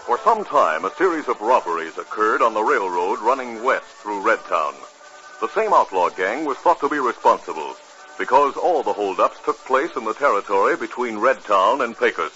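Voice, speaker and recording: A man's voice: on the low side, sing-song, normal speed, pushed and bright, faint music behind. An American man as a 1950s radio announcer, reading scripted narration with dramatic, emphatic delivery. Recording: muffled, noisy old 1950s radio recording.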